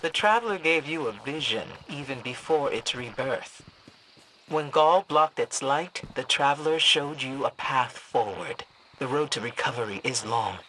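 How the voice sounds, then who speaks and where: An actor playing a solemn, commanding mentor in a game cutscene, measured, grave and authoritative. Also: male voice, medium pitch, pushed and bright, a little hiss or hum, poor audio. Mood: solemn, encouraging. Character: solemn commanding mentor guiding a guardian warrior. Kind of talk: video game cutscene acting.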